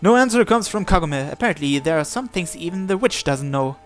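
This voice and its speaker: A man reading a visual novel aloud as dramatic first-person narration, in a theatrical, deliberate way with short phrases. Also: a little high, animated, faint music behind.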